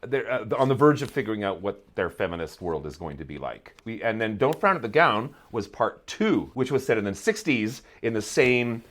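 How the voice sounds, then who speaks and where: Middle aged guy, podcast guest, very up and down pitch, rambly self mocking chat. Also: very animated, moderate pace, hardly any pauses, a bit bright. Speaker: podcast guest, theatre maker. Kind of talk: casual chat.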